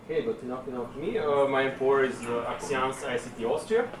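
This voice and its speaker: A man from Serbia gives a technical conference presentation in a relaxed, chatty way, pausing often on fillers. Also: medium pitch. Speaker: conference speaker. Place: conference session room. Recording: echoey room, distant mic, room noise.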